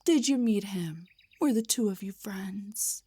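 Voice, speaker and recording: A woman voicing an indignant, defensive half-drow bard in a scripted fantasy roleplay audio, with a breathy, theatrical delivery. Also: mid-range voice, lively intonation, a little slow, warm tone. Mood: scornful, incredulous. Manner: theatrical, sarcastic, emphatic, stammers when rattled. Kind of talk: scripted roleplay monologue, one side of a conversation.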